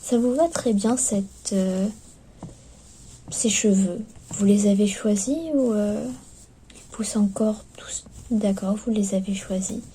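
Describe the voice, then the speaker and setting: A woman murmurs an ASMR clinic roleplay in a very dark, soft voice that often drops to a whisper. She goes slowly and gently, with hesitant pauses, like attentive staff guiding a client. The recording is lo-fi, with faint noise behind her. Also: medium pitch, fairly even intonation. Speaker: ASMR performer playing skeleton clinic staff.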